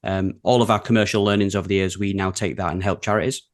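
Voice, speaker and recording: A man's voice: low-pitched, steady tone, fast talker. Man with a broad Yorkshire accent. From England. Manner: easygoing, chatty, with frequent ums. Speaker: creative director and guest. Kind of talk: casual interview conversation.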